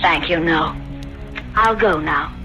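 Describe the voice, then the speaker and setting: A woman's voice: sits low, slow pace, pushed and bright, full character voice. An actress playing a meek, self-deprecating young woman, earnest and melodramatic, in a 1970s radio drama. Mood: shy, flattered. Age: young adult. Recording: vintage radio broadcast, music behind.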